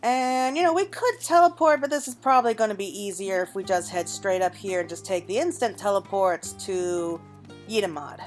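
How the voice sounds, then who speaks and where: A woman's voice: medium pitch, normal speed. Chatty woman narrating her video game playthrough. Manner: chatty, musing aloud to the audience. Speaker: game streamer, host of a let's play. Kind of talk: casual let's-play commentary with read-aloud dialogue. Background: game music.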